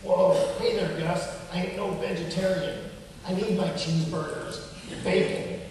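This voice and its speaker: A man's voice: sits high, steady tone, unhurried, a little mellow. A man playing a wisecracking, skeptical male puppet in a children's show, with a slangy, sarcastic way of talking. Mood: skeptical, indignant. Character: sardonic, gruff-minded, wisecracking male puppet. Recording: echoey hall from far off, faint noise.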